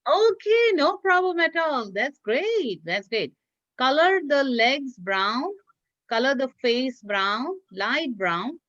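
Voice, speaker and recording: Woman, children's craft teacher. Unhurried, encouraging, repeats simple steps. Dull, dry online-class sound. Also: high voice, very animated, fairly bright tone, no echo. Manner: encouraging, praising, repeating instructions. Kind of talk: instructional talk to young children, simple step-by-step.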